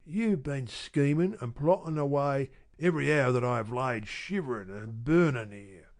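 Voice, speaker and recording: A man's voice: medium pitch, moderate pace, natural voice. A man voicing a surly, bitter housebreaker who growls and demands. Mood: disgusted. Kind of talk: audiobook character reading.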